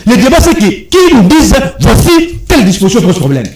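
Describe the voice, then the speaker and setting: A man's voice: high voice, wildly swooping pitch, a little fast, a little mellow, a little hiss or hum. A man with a Congolese accent debating politics heatedly, insistent and argumentative, often repeating his phrases. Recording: echoey room with overlapping voices.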